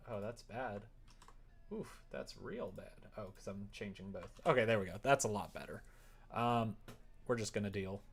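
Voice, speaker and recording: A casual livestreamer of unclear gender with a mid-pitched, gravelly voice that often drops into fry. They talk slowly and informally, muttering their thoughts aloud as they work, with exasperated, self-deprecating asides. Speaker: live streamer. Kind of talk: casual livestream chat while working, thinking aloud.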